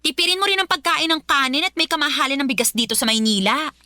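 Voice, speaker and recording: A woman's voice: sits high, quick pace, pushed and bright, dry recording, clean studio sound. A woman voicing a scheming, cruel middle-aged mother who poses as an aunt, in a radio drama. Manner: sugary to husband, cruel and scolding to niece.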